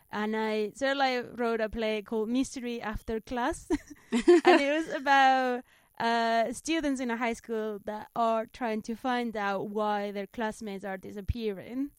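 Woman, Spanish accent, fairly high clean voice, casual storytelling, giggly self-mocking delivery. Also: smooth, no room sound. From Spain. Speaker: stand-up comedian, interview guest. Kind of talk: casual chat, telling anecdotes.

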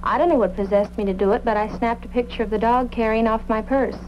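Slightly high-voiced, chatty witness played by a woman. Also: fairly even intonation, quick pace, natural voice. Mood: earnest, chatty. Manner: chatty, hospitable, plain-spoken. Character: polite, talkative female witness, mildly wry. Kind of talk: old-time radio drama acting. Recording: muffled, faintly noisy old radio recording.

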